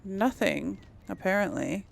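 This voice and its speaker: Slow, creaky-voiced woman gamer. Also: mid-range voice. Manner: musing aloud to herself. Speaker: let's play host. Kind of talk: casual game commentary.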